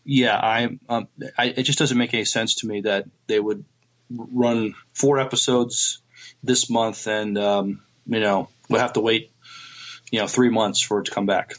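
A man's voice: fairly low pitch, steady tone, a little slow, a bit bright, low-quality recording. A man in a casual podcast discussion, talking in a hesitant, thoughtful way as he gives his opinions. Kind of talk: casual TV review chat.

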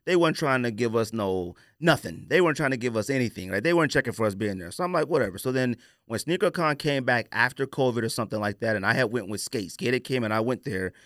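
A man's voice: mid-range voice, animated, quick pace, hardly any pauses, a bit bright, no room sound, studio quality. A man hosting a podcast, telling stories in a casual, colloquial, opinionated way and acting out quoted exchanges. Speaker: podcast host.

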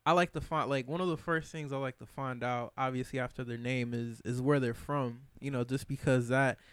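A man's voice: mid-range voice, fairly even intonation, fairly quick, fairly bright tone, smooth, dry recording. A man from Michigan, a podcast guest, chatting casually and loosely in a studio, full of slang and repeated fillers. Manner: slangy and loose, peppering talk with "bro" and "like". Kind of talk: casual chat.

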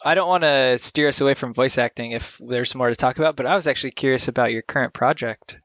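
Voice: mid-range voice, quick pace, a bit bright, no echo. An interviewer asking questions in a casual chat and reacting briefly and kindly, often laughing along.

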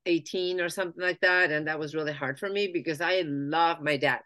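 A woman's voice: low-pitched, fairly quick, sharp tone. A woman in her fifties from Argentina, speaking, chatting as a guest about her life story with lots of restarts and fillers. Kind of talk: conversational life-story interview.

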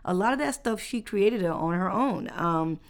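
A woman hosting a relaxed podcast chat at a medium pitch. She reads out facts in an easygoing, conversational way, and her voice has a gravelly, creaky texture. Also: a little slow. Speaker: podcast host. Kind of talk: casual chat.

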